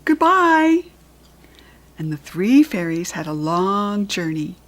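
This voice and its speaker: A woman with an American accent reading a children's book aloud in a clear, expressive voice. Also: lowish voice, measured pace.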